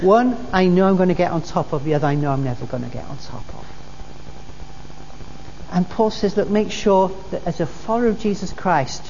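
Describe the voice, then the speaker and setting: A woman's voice: lowish voice, animated, brisk, dark tone. A woman preacher delivering a sermon, earnest and repeating her key phrases for emphasis. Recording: dull recording in a reverberant hall with faint noise.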